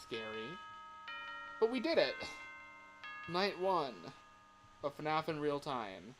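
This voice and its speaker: Slow-talking male game streamer over clear noise. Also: on the high side, big pitch swings. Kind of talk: casual live gaming commentary.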